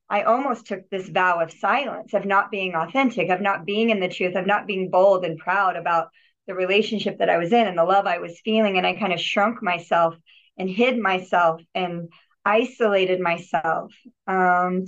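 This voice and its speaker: Woman, podcast guest, earnest and reflective, halting restarts, muffled lo-fi sound. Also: medium pitch. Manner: earnest, reflective, with frequent restarts and repeated words. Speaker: podcast guest, healer and yogi. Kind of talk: personal story in an interview. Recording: dull lo-fi audio.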